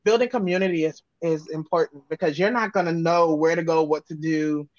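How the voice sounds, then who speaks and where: A woman's voice: sits low, fairly even intonation, a little fast, darkish tone, no echo, muffled recording. A woman with an American accent chatting casually as an interview guest, talking informally in loose, run-on thoughts. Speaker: guest, audio drama creator and sound designer.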